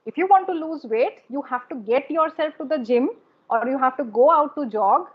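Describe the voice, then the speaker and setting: A woman's voice: sits high, expressive, normal speed, lo-fi sound. A woman with an Indian English accent and Bengali as her first language, coaching English in an emphatic, repetitive, teacherly way. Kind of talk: instructive conversation.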